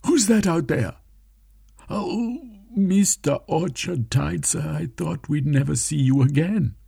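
A man voicing a fussy male club servant, raising his voice high and fretful. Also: expressive, takes it slowly, gravelly, warm tone, breathy edge, no echo, clean studio sound, full character voice. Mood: startled.

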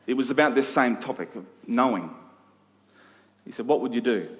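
A man's voice: medium pitch, lively intonation, moderate pace. Male preacher in a reverberant hall. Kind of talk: expository sermon to a congregation. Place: large reverberant room, live church service.

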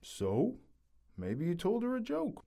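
A man playing a gruff, folksy, skeptical teammate who drawls, in an audio drama. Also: sits high, huge pitch swings, slow pace, mellow, exaggerated voice. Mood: dismissive.

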